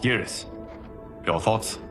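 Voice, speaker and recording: An actor playing a cautious, commanding and grave war leader in a cinematic video game. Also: male voice, slow pace, fairly bright tone, faint music behind.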